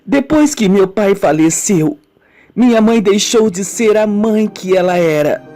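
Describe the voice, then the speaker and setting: A woman with a Brazilian accent playing a wounded, brooding woman confessing her childhood in a melodramatic, deliberate radio soap style. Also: mid-range voice, lively intonation, warm tone, close to an everyday voice. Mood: wistful, bitter. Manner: slow, melodramatic, deliberate storytelling. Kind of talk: amateur radio soap acting. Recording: music bed under the voice.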